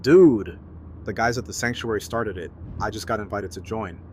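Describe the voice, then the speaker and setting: Man acting easygoing, slangy young-hearted buddy, slightly low voice. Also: moderate pace, background noise, natural voice. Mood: friendly, upbeat. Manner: casual, slangy, laid-back. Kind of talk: fan audio drama acting, casual dialogue.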